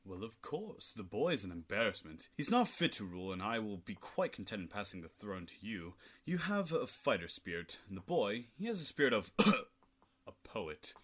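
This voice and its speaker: A man's voice: on the low side, very animated, moderate pace, a bit bright. A young man in a student radio play voicing a cruel, scheming king who talks in a haughty, sneering way. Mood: plotting. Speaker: student actor. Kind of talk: amateur radio play acting.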